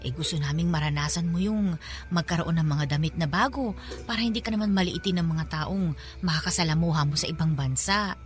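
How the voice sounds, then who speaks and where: A woman's voice: fairly low pitch, fairly quick, faint music behind, lightly acted. A woman playing a loving, insistent middle-aged mother with a Filipino accent, coaxing her child in a radio drama. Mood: fond, insistent.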